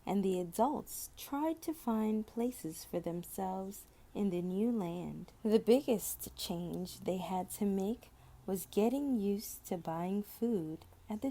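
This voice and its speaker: A woman reading a children's story aloud, with deliberate, expressive phrasing and drawn-out words. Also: medium pitch. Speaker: storybook reader.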